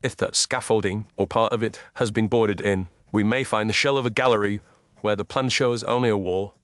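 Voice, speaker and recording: Storybook detective male reader acting: lowish pitch, formal, exact, self-assured, close dry sound. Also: fairly even intonation, brisk, no echo, lightly acted. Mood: composed, analytical. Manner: precise, formal, deductive. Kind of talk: audiobook character dialogue.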